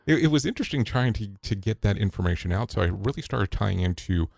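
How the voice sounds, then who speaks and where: A man being interviewed on a podcast, telling stories in a relaxed way with restarts and quote-unquote asides. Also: on the low side, fast, gravelly, dry recording, studio quality. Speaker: podcast guest, safety broadcaster. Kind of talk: conversational interview answers.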